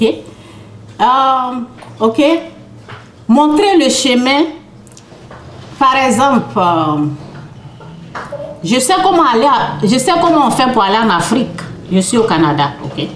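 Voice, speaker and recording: A woman's voice: fairly high pitch, very animated, slow pace, long pauses, slight background noise. A woman from Côte d'Ivoire gives an informal spiritual talk as an author. She speaks off the cuff, restarts phrases and keeps checking in with her listeners. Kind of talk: informal spiritual teaching to an audience. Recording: roomy echo.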